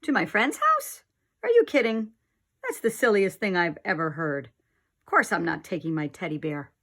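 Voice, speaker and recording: A woman's voice: mid-range voice, big pitch swings, moderate pace, fairly bright tone. Storybook young boy, played by a woman: eager but easily worried, lots of exclaiming and anxious questions. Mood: indignant.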